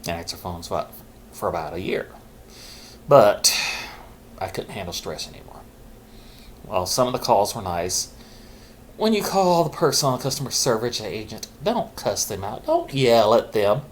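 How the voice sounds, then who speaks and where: A middle-aged man, a vlogger, candidly telling his own story in a halting, reflective way, holding his naturally loud voice down low. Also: sing-song, a little hiss or hum. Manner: halting and reflective, with pauses and restarts; says he is keeping his loud voice low on purpose. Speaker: vlogger sharing his mental health story.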